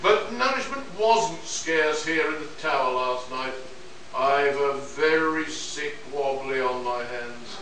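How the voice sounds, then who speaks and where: A man's voice: mid-range voice, lively intonation, takes it slowly, pushed and bright. Pedantic male detective-scientist man acting, amateur radio play, lecturing tone, very reverberant distant recording. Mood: grave, explaining. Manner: pedantic, condescending, stumbling over script. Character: know-it-all male scientist detective lecturing on alien plants. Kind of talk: live amateur radio-play reading at a convention. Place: convention room, distant microphone. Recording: noisy echoey hall recording from far off.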